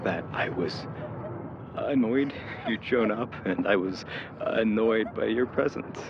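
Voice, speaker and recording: A man acting in an audio drama as a lovestruck young man, awkwardly tender and teasing, with a halting, hesitant way of talking. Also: lowish voice, creaky, dull audio, background noise. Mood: wistful reminiscing.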